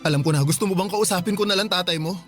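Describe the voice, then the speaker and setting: A man's voice: sits high, rapid-fire, a bit bright. A young Filipino boyfriend, anxious and earnest. He speaks hesitantly and pleadingly in a Filipino accent, in the manner of radio drama, over faint music. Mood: pleading, caring.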